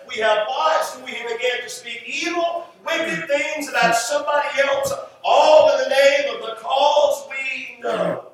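An American man preaching a sermon in an emphatic style, with rhetorical repetition and deliberate pauses. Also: very high voice, a bit bright. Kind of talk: sermon to a congregation. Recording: echoey, distant mic, faint background noise.

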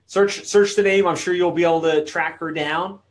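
A man's voice: a little high, fairly even intonation, moderate pace. A man hosting a live webinar who reads out viewers' comments and wraps up the session in a friendly way. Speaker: webinar host.

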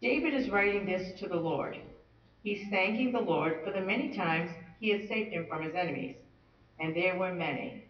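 A man's voice: sits high, moderate pace. A man teaching a Bible study lesson in an earnest, conversational way with touches of light humor. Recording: roomy, distant, dull-sounding.

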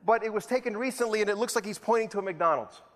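Male preacher, high voice, rhetorical and self-mocking, echoing hall. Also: quick pace, a bit bright. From El Salvador. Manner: rhetorical, repetitive, self-deprecating humor. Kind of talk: sermon. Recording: long hall reverb.

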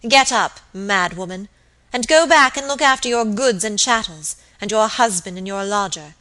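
A woman's voice: mid-range voice, animated, normal speed, fairly bright tone, close to an everyday voice. A woman voicing a haughty lady in an audiobook, cold, hard and sternly contemptuous. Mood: scornful, commanding. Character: cold, haughty, fierce lady scolding her maid.

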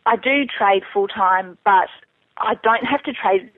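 A woman's voice: mid-range voice, a little slow, no echo. A woman chatting candidly as a guest in a casual interview, answering questions about herself. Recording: thin narrowband phone or internet call.

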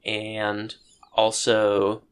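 A man with a low voice co-hosting a podcast, talking thoughtfully with many pauses and restarts. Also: monotone, clean tone. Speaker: podcast co-host. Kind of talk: casual chat.

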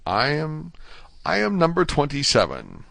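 Guy doing a weary older prisoner, guarded and subdued, careful measured talk, audiobook. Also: a little high, huge pitch swings, vocal fry, a bit bright, no echo, voice pushed up, exaggerated voice.

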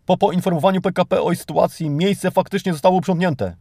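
Overexcited news reader, played by a man, pitched well up, declamatory mock bulletin, dry close sound. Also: steady tone, quick pace, vocal fry, warm tone, no echo. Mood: mock-urgent. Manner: declamatory, exaggerated emphasis. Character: overexcited, solemnly emphatic news article reader.

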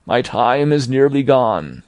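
A man's voice: medium pitch, big pitch swings, takes it slowly, a little mellow, no room sound. A man voicing a mournful chained male ghost who declaims and laments in a raised, grave voice. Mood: solemn warning.

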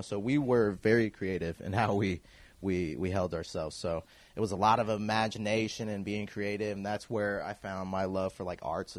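A man in his thirties from Kansas City, a guest telling his story in a relaxed, rambling way, full of fillers and restarts. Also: lowish voice, dry recording. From Kansas City, USA. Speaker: guest, clothing designer. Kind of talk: casual podcast interview.

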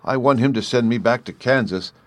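A man voicing a gentle, timid, caring young girl in a storybook, with a kind and anxious manner. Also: medium pitch, brisk, dry recording, natural voice. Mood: friendly, matter-of-fact. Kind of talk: storybook character voice.

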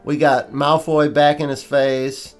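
A man's voice: on the high side, flat delivery, measured pace, clear, resonant tone, soft background music. Congested man rambling on a podcast. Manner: rambling, joking, many fillers. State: congested from allergies. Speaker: podcast co-host. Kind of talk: casual chat.

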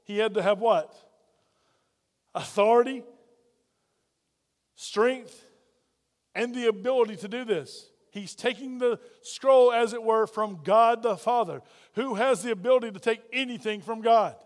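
A man preaching a sermon as pastor, loud and folksy, full of playful asides to the congregation. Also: very high voice, measured pace, long pauses, in a large hall.

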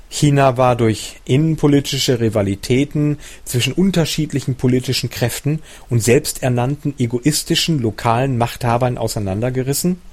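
A man reading a translated nonfiction book aloud as its narrator, at a measured, even pace with the odd self-correction. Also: mid-range voice.

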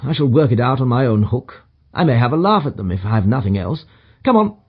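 A man's voice: medium pitch, expressive, brisk, slightly rough, phone-quality sound. A man voicing a self-assured, superior detective in an audiobook, with emphatic, clipped pronouncements and dry condescension. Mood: brisk, superior. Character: brisk, self-assured detective, languid yet energetic and superior. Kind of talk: audiobook character dialogue.

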